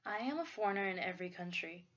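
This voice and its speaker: A woman's voice: mid-range voice, expressive, quick pace, fairly bright tone. A young woman from Korea, with Korean as her first language, reading a prepared personal essay in an earnest, reflective way. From South Korea. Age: young adult. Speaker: student presenter. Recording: dull lo-fi recording.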